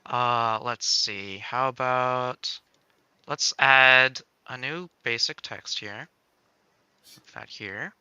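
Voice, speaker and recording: A man hosting a livestream, casually walking through game-making software step by step, thinking aloud in a playful way. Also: mid-range voice, very slow, clean tone, no room sound, dull audio.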